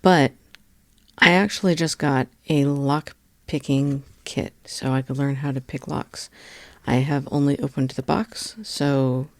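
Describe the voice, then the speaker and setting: A woman's voice: rumbling low, steady tone, measured pace, no room sound. A woman hosting a podcast, chatting casually with wry, self-deprecating asides. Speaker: podcast host. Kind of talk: casual chat introduction.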